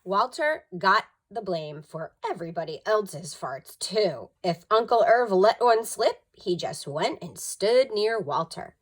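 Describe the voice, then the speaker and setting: A woman's voice: medium pitch, lively intonation, measured pace, few pauses. A woman narrating a children's story expressively, with dramatic emphasis, like a school storytime reader.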